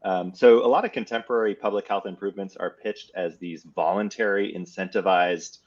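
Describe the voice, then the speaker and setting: Low-voiced male academic, dull audio. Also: steady tone, a little fast. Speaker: guest, history professor. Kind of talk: conversational academic explanation.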